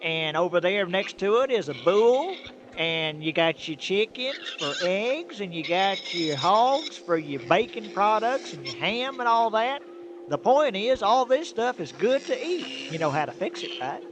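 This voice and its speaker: A man's voice: high voice, sing-song, measured pace. A man playing a friendly, rambling country trucker with a Southern US accent in a comic radio drama. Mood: helpful, chatty. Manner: rambling, homespun. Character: friendly, folksy, good-natured country trucker. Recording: noisy radio drama recording.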